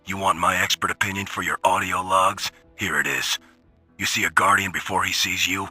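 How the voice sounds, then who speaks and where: A blunt machine soldier recording a log for a video game. The voice is very low, with a hard, forceful edge, terse and gruff over faint music. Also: male voice, fairly even intonation, moderate pace, exaggerated voice. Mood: sardonic, gruff. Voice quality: gravelly, raspy. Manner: terse, matter-of-fact, gruff. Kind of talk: scripted in-character audio log.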